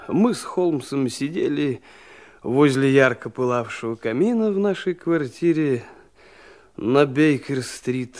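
A man narrating a radio drama in a measured, literary storytelling style. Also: medium pitch, a little mellow.

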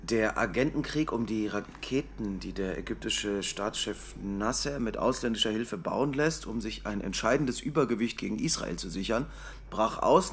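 A man's voice: medium pitch, a little hiss or hum, lightly acted. Man playing a stammering, flustered young guy. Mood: reading aloud. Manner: nervous, stammering. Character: flustered young German, defensive.

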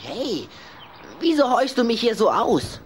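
A woman's voice: on the high side, big pitch swings, measured pace, a little hiss or hum. A woman playing a proud, touchy, lovesick young boy vampire in a theatrical way for a children's radio play. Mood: indignant.

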